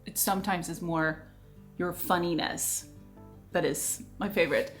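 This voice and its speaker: A woman in her thirties with a Swedish accent, hosting a casual question-and-answer chat in a lively, talkative way and laughing often. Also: fairly low pitch, a little slow, soft background music. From Sweden. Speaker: vlogger host.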